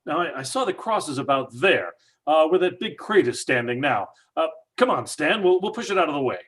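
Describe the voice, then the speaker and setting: Husky-voiced skeptical dad played by a man, brash and dismissive, for an old-time radio play. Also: a little high, very animated, brisk, a bit bright, exaggerated voice. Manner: brash, dismissive, stammering fillers. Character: blustering skeptical father, middle-aged house owner.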